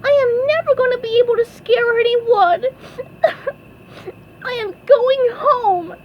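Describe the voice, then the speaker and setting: A woman voicing an excitable young male cartoon sea sponge with a squeaky, high-pitched voice. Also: unhurried, exaggerated voice. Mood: tearful, dejected. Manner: excitable, exclaiming. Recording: dull lo-fi, faint background noise.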